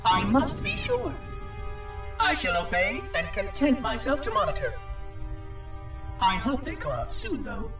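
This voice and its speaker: A man's voice: very high voice, huge pitch swings, a little slow, long pauses, heavily acted. A man voicing an ancient, fussy, curious robotic monitor in a video game, with precise, formal, theatrical delivery and a synthetic processed sound. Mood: doubtful, wistful. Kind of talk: video game cutscene log narration. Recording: distant, echoing, music underneath. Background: music.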